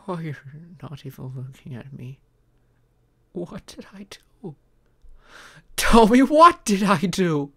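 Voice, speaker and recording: Voice actor as emotional, slow-paced, pleading live-in partner. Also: medium pitch, sing-song, full character voice. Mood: angry, hurt. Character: upset, frustrated, caring live-in partner, pleading and hurt. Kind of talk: intimate ASMR roleplay voice acting, one side of dialogue.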